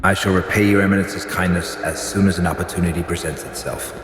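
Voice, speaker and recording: A man playing a grim, pious and cynical inquisitor in a video game, talking in a measured, formal, stern way. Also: sits low, steady tone, big-room sound, noisy background, natural voice. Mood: formal, restrained. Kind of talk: video game character acting.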